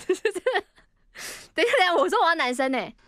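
A woman's voice: very high-pitched, wildly swooping pitch, moderate pace, fairly bright tone, no room sound. A woman with a Taiwanese Mandarin accent doing playful improvised radio banter, giggly and flustered, often repeating words. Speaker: radio comedy performer.

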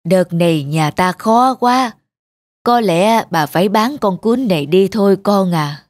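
A woman playing a loving, weary old village grandmother in a cartoon, with a gentle, sighing, plain-spoken manner. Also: medium pitch, lively intonation, measured pace, no echo, natural voice. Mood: sad, resigned. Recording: music behind the voice.

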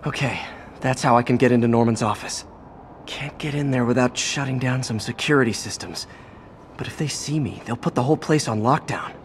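An actor playing a quippy young masked hero in a video game, speaking briskly with wry quips. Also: male voice, medium pitch, a little hiss or hum, natural voice. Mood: muttering.

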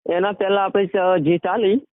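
Man, high-pitched voice, casual interviewee, narrowband phone line, dry sound. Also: fairly even intonation, normal speed, dry recording. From Gujarat, India. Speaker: singer and band owner being interviewed. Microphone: phone call.

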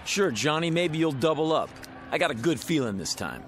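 An actor playing a smooth-talking male card dealer in a radio drama, slick and needling. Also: a little high, expressive, moderate pace, faint music behind, natural voice. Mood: sly.

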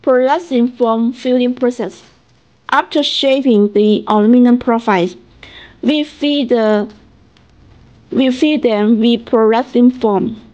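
High-voiced Chinese-accented woman presenting. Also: a little mellow, muffled recording. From China. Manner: halting reading, stumbling over and repeating phrases. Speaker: factory representative presenting products. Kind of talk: scripted promotional narration.

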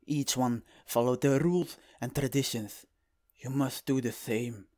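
A stern snake mentor from an animated series, acted by a man. His male voice sits at a middle pitch. He speaks formally and to the point, with the measured authority of an honorable warrior guiding a student. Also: lightly acted. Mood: solemn. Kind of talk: animated series character acting.

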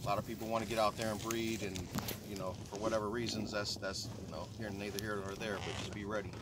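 A man's voice: fairly low pitch, little pitch movement, fast. A man who breeds dogs answers interview questions in a plain, matter-of-fact way while riding on a motorboat. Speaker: guest, dog breeder. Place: open motorboat on a lake. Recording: distant mic, loud wind and water noise.

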